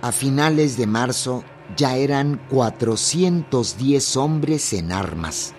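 A man's voice: mid-range voice, expressive, takes it slowly, a little mellow, soft background music. A man, reading scripted narration for a radio drama.

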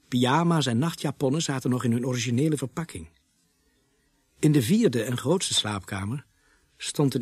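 An actor playing a policeman who narrates his investigation in a radio play, in measured storytelling with a husky voice. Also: mid-range voice, no room sound, studio quality. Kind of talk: scripted radio drama narration.

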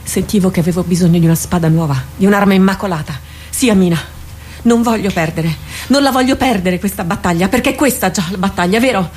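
An actress playing an anguished, loyal army captain in a radio drama, speaking haltingly and with theatrical fervour. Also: female voice, mid-range voice, darkish tone, soft background music, lightly acted. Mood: resolute. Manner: impassioned, halting, repeats phrases for emphasis. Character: anguished female army captain, loyal soldier wrestling with herself.

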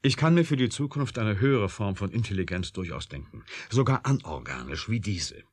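Ein Schauspieler spielt in einem deutschen Hörspiel einen verbitterten, trotzigen Wissenschaftler mit sardonischer, eindringlicher Art. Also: male voice, on the low side, very animated, brisk. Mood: grave, insistent. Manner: sardonic, insistent, intense. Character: embittered, urgent, defiant male computer scientist. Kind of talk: German radio drama acting.

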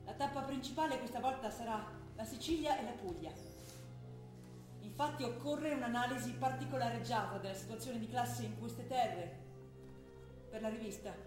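A woman's voice: on the high side, normal speed, close to an everyday voice. An actress playing a defiant young militant woman from who reads her letters and argues with her father. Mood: earnest. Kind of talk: stage play broadcast on radio. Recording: echoey stage recording with music.

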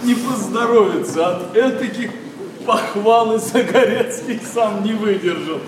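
An actor playing an outspoken, sardonic young gentleman with a mocking, declamatory manner in a verse stage comedy. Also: high-pitched, a little slow, noisy background. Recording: far-off hall with loud music.

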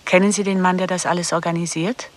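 Woman playing a cool, measured contract killer. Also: lowish voice, fairly even intonation, natural voice. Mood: cool, businesslike. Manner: measured, probing, matter-of-fact. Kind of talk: radio play dialogue.

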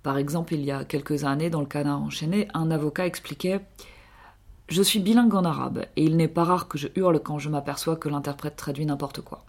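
A woman reading a scripted radio column, measured and explanatory. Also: low-pitched, fairly even intonation.

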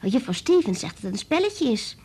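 A woman playing a bright, chatty, trusting young girl in a radio drama, with earnest childlike phrasing. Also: a little high, very animated, quick pace, slightly rough, full character voice. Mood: earnest, confiding.

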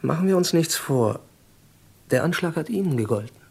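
An actor playing a businesslike intelligence agent in an old radio crime drama, questioning directly and dryly. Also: male voice, on the high side, animated, normal speed, vocal fry, a little mellow, slightly rough, full character voice. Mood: probing, businesslike. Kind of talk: 1970s German radio crime drama acting.

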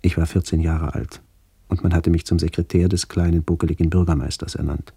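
Older man remembering, very low pitch, gravelly, measured literary radio play narration, reflective. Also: little pitch movement, warm tone, dry recording, exaggerated voice. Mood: somber, remembering. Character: older man recalling his village childhood during the expulsion.

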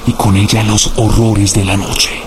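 A grave narrator of a radio horror tale, performed by a man. His voice is fairly low and very even, measured and ominous, over clear background noise. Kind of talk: scripted radio drama narration.

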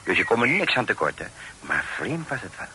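A man's voice: lowish voice, big pitch swings, a little fast. An actor playing a talkative, deferential country tradesman in a period radio drama, rambling and stammering as he goes. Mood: gossipy, eager. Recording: faintly noisy old radio play recording.

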